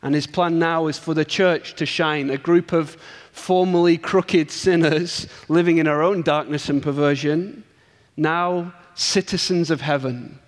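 Man, English accent, guest preacher, earnest sermon, slightly high pitch, long hall reverb. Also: fairly even intonation, a little slow. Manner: earnest, rhythmic preaching with emphatic build-ups. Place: church sanctuary.

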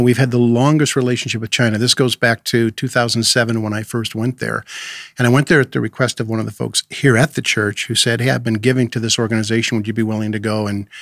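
A middle-aged American man talks in an earnest, conversational manner as an interviewed pastor. His mid-pitched voice has a gravelly creak, and the sound is dry, with little room around it. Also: fairly bright tone, no echo. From Illinois, United States. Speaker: missions and outreach pastor, interview guest. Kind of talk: conversational personal storytelling.

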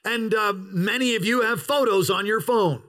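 A pastor preaching an outdoor sermon in a parking lot, with an opening prayer and emphatic, drawn-out pauses. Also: male voice, sits high, a bit bright.

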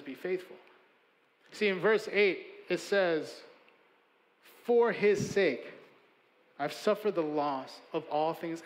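Guy preaching, earnest, stacks up lists then goes quiet, high voice. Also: big-room sound, poor audio. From Chicago, USA. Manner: earnest, emphatic preaching with building lists and hushed endings. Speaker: pastor. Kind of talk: sermon.

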